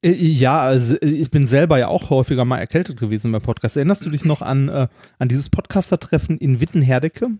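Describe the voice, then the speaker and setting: A man's voice: medium pitch, quick pace, hardly any pauses, mellow, no echo, clean studio sound. A man co-hosting a podcast from home with a slight head cold, talking in an easygoing, hesitant way with many fillers. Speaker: podcast co-host. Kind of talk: casual podcast chat.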